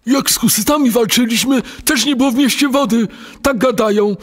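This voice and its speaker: Very high-pitched grumbling tavern beer trader man acting. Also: a little fast. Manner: rattling, complaining.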